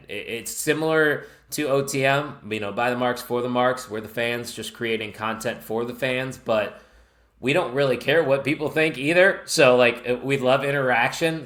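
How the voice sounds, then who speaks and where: A man's voice: mid-range voice, fairly bright tone. An American man with a Chicago accent, a podcast guest talking in an earnest, enthusiastic way that drifts off the point. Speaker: podcast guest, wrestling network founder. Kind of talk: casual podcast chat.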